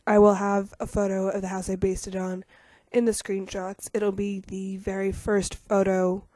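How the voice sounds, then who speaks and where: Young woman, rough post-cold voice, mellow dark tone, chatty and self-deprecating. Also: medium pitch, completely flat delivery, normal speed, dry recording, clean studio sound. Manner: chatty, with many ums and self-deprecating asides. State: rough voice from getting over a cold. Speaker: YouTuber narrating a game speed build. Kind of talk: casual voice-over commentary.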